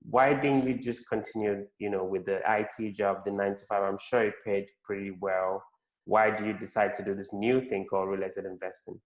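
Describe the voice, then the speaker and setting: Flat-toned male podcast host. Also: lowish voice, fairly quick, reverberant, poor audio. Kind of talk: interview hosting.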